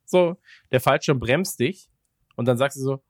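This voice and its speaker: A man hosts in a loose, conversational way, with a mid-pitched voice. He rambles from thought to thought and slips in ironic jokes. The sound is dry, with very little room in it. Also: a little slow, no echo. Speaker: podcast host. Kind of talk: casual chat.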